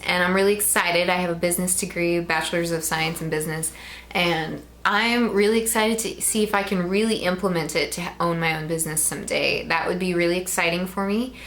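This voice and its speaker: A young woman in her twenties talks casually to camera in a Midwestern American accent, rambling along with plenty of ums. Her voice sits a little low for a woman, and a faint hiss runs under the recording. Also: fairly bright tone. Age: 20s. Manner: chatty, rambling, with frequent ums. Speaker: vlogger.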